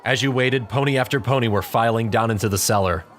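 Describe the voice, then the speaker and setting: A man's voice: medium pitch, fast talker, faint music behind. A man narrating a scripted story in the second person.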